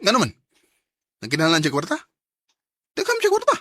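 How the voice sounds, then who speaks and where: A man's voice: sits high, huge pitch swings, a little fast, bright, forceful tone, no room sound, studio quality, full character voice. A man acting an earnest, determined young student who carries some resentment, in a radio drama with a Filipino Bisaya accent. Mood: guarded, resentful.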